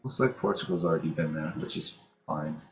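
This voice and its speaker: Male gamer, low-pitched, casual let's play narration, thinking out loud, light music underneath. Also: lively intonation, brisk, highs cut off. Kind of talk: casual strategy game commentary, thinking aloud.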